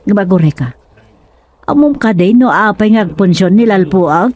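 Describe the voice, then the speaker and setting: A woman's voice: mid-range voice, animated, normal speed, a little mellow, slight background noise, close to an everyday voice. A woman playing a skeptical, bickering ghost companion in an Ilocano radio comedy, exclaiming and teasing with an Ilocano accent. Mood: scolding.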